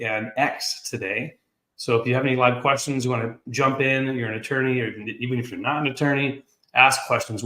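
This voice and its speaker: A man's voice: mid-range voice, fairly bright tone. Man in his thirties, host, jokey and upbeat, video call, roomy echo. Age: 30s. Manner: jokey, upbeat, self-deprecating. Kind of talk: casual video-call chat.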